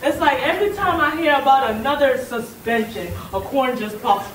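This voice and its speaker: A woman's voice: sits high, slight background noise, lightly acted. A woman playing a weary, gossipy older school staffer who sighs and exclaims as she talks. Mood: gossiping. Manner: sighing, chatty, drawn-out exclamations. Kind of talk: stage monologue. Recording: echoey room from far off.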